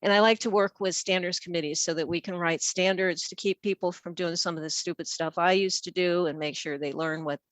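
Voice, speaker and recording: A woman's voice: lowish voice, steady tone, a little fast, hardly any pauses, no room sound. An older woman presenting casually on an online call as a guest consultant, with an informal, rambling way of talking. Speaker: featured guest, packaging engineer and consultant.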